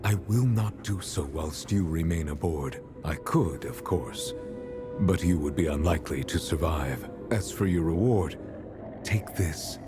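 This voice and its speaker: An actor playing a stern, formal ship's master in a video game, measured and commanding, bent on his duty. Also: low-pitched, lively intonation, music in the background. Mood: stern, resolute. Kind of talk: video game cutscene acting.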